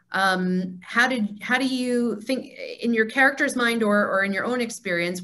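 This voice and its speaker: Slow-paced woman leading a discussion. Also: medium pitch, a bit bright. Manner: encouraging, affirming. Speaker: discussion leader of a temple group. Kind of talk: informal group teaching and discussion. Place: video call.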